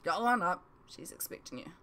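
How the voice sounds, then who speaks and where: A woman's voice: fairly low pitch, big pitch swings, a little fast, sharp tone. Kindly tavern keeper, done by a woman, wry and casual, teasing the youngsters in a storybook.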